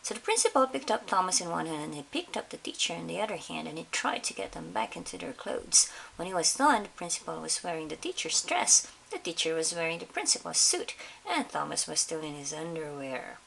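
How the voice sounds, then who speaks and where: A woman reads a children's story aloud with a very animated, lowish voice that has some creak in it. She pauses to question her young listeners. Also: poor audio. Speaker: picture-book reader for children. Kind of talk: expressive read-aloud storytelling. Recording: faint background hiss.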